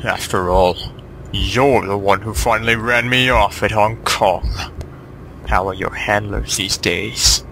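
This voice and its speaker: A man's voice: fairly low pitch, fairly even intonation, measured pace, creaky, bright, forceful tone, a little hiss or hum, exaggerated voice, low-quality recording. A man playing an arrogant, mocking vampire villain in a taunting, theatrical manner. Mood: scornful.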